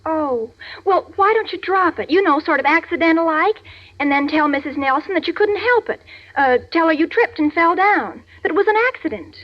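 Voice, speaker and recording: An actress playing a precocious, matter-of-fact young neighbor girl with an earnest deadpan in a 1940s radio sitcom. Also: very high-pitched, moderate pace. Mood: scheming. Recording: muffled vintage radio broadcast.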